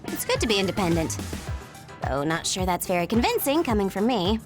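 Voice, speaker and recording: A woman plays a lazy, teasing female captain from an anime game. Her medium-pitched voice swings freely in a languid, casual way. Music is clearly heard behind her. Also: sing-song, a little fast, lightly acted. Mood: relaxed. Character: laid-back, lazy veteran female captain, deceptively young-looking. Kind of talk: English anime game voice acting.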